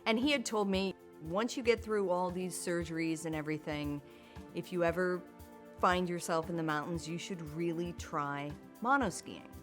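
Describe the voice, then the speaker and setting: Candid woman with a lowish voice. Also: normal speed, faint music behind. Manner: candid, often laughing mid-sentence. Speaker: Paralympic skier telling her story. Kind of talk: documentary interview narration.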